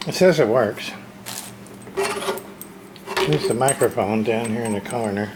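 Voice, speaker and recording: Man, slow pace, folksy casual unboxing commentary, short muttered fragments, noisy home recording. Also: mid-range voice. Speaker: radio repair hobbyist hosting an unboxing. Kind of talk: casual hands-on unboxing narration.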